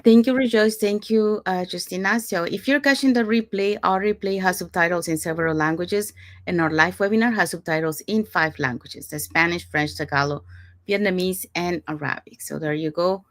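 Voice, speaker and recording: A woman's voice: lowish voice, moderate pace. A woman from Panama hosting a live online talk over a video call, chatty with many fillers. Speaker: host. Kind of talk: casual livestream hosting.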